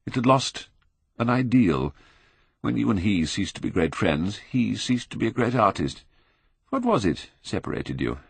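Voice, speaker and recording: A man voicing a languid, witty, cynical older Victorian gentleman in an audiobook, with a British accent and a blasé drawl. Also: on the low side, very animated, moderate pace, vocal fry, slightly rough, dry recording, full character voice. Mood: musing, wry.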